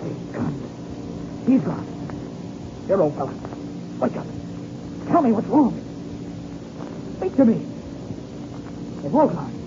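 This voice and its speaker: An actor playing an earnest, anxious young co-pilot in a melodramatic 1930s radio serial. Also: male voice, high-pitched, very animated, slow pace, pauses often, heavily acted. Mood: despairing, weakening. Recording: muffled, noisy old radio recording.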